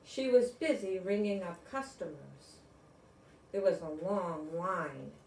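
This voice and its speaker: A woman's voice: on the low side, lively intonation, slow pace, creaky, a little mellow, recorded from a distance, slight background noise. An older woman narrating a children's story as a storybook reader. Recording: echoey room.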